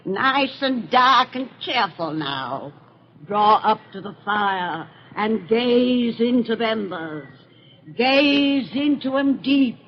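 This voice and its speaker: A woman playing a gleefully sinister, ancient witch with a cracked, cackling voice, telling folksy horror tales in old radio style. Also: on the high side. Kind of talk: 1930s radio horror acting. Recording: muffled, noisy old radio recording.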